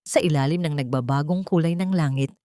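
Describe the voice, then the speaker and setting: A woman with a Filipino accent narrating a scripted dramatic story in a measured, sentimental way. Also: deep voice, warm tone, no echo, studio quality.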